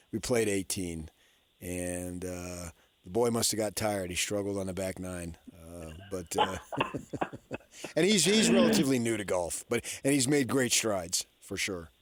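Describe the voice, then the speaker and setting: A man's voice: on the low side, dry recording. A middle-aged man hosting sports radio, talking casually and thinking aloud as he rambles along, close to the microphone.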